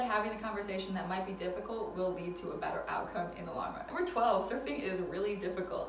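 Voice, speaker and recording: A woman's voice: medium pitch, distant mic. A woman in her twenties rattles through life advice in a casual vlog. She laughs often and pokes fun at herself. Her voice carries the echo of a room, with the mic set back and a faint hum of noise behind. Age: 20s. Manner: chatty, laughs often, self-deprecating. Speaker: vlogger. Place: indoors at a relative's house.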